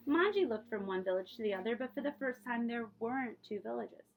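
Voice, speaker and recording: A woman librarian reading aloud for children in an expressive storytelling way, speaking directly to her young listeners. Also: on the high side, fairly quick, gravelly, noisy background.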